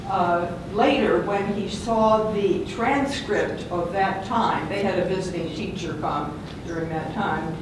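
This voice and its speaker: A woman's voice: on the low side, a little slow, hardly any pauses. A woman in the audience telling a chatty personal story. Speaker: audience member. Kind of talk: conversational anecdote. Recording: very echoey hall, far from the mic, noisy.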